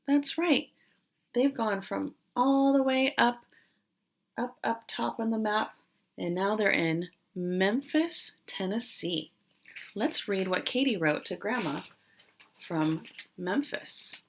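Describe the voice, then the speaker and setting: A woman teacher doing an interactive read-aloud for young children, explaining as she goes and pausing after questions. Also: mid-range voice. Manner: questioning, explanatory, with pauses for answers.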